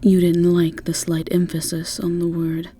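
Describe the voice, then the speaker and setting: A woman's voice: lowish voice, completely flat delivery, measured pace, warm tone. A woman narrating a scripted fantasy roleplay in the second person, with a wry storyteller's delivery. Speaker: narrator and voice actor.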